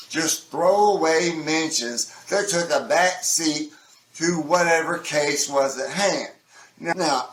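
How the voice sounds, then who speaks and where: A man's voice: on the high side, a bit bright, echoey room, low-quality recording. A man delivering opinionated scripted commentary in an emphatic, sardonic manner, stretching out key words for stress. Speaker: video essayist.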